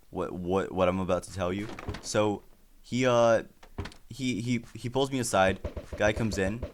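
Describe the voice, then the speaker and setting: A teenage boy with a very low voice, telling a story while he plays a game. Spanish is his first language. He rambles and mocks himself, stumbling over words and restarting sentences. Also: slight background noise. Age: teens. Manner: rambling, self-deprecating, stammers and restarts often. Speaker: YouTuber.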